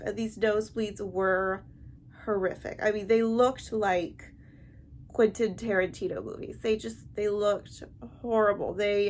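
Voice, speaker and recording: A woman with a stuffy, heavily congested nasal voice, talking to camera in a halting, explanatory way in a personal vlog. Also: medium pitch. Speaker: vlogger. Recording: amateur recording with light background noise.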